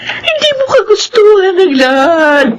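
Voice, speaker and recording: A woman's voice: very high-pitched, big pitch swings, a little slow, a little hiss or hum, exaggerated voice. A woman with a Filipino accent playing a grieving, pious mother in a radio horror drama, emotional and melodramatic. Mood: sorrowful.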